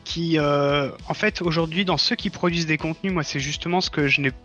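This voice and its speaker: A man's voice: on the high side, fairly even intonation, a little fast, a bit bright. A man talks casually and earnestly, explaining his views. The sound is somewhat dull and lo-fi, with faint music behind him.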